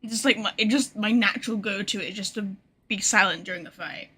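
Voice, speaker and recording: A woman's voice: medium pitch, a little fast, pushed and bright. A woman giving casual, blunt and mildly sarcastic live commentary as she plays a game. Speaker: game streamer.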